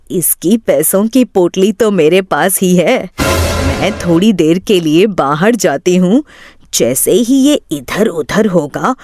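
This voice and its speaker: Sly, slow-talking woman swindler, as a storyteller plays her. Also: lowish voice, background noise. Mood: scheming. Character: sly thieving woman feigning motherly kindness, secretly murderous.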